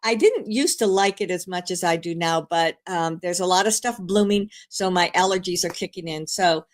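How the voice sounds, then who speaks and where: An older woman, a grandmother, hosting a live stream in rambling, casual chat, hoarse from a cold, with many fillers and restarts. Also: lowish voice, moderate pace.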